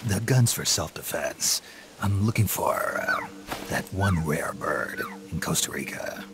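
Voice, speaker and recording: An actor playing a gruff, guarded soldier in a video game cutscene. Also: male voice, low-pitched, wildly swooping pitch, measured pace, noisy background, exaggerated voice. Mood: guarded, casual. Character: gruff, guarded soldier man posing as a birdwatcher. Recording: music behind the voice.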